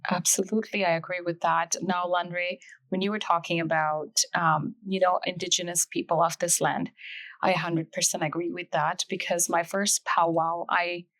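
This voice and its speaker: A woman's voice: on the low side, flat delivery, moderate pace, fairly bright tone, poor audio. Effusive woman host. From Bangladesh. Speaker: podcast host. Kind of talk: conversational interview.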